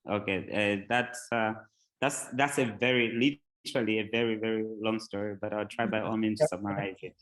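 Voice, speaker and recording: A man from Zambia, a tech company founder, talking casually as a podcast guest, relaxed and rambling with many fillers. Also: on the low side, fairly quick, rough recording.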